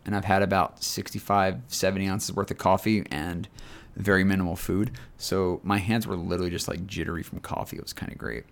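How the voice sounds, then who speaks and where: A man's voice: low-pitched, fairly even intonation, fairly quick, gravelly. A man with a scratchy voice telling rambling, chatty stories in a casual chat as podcast co-host.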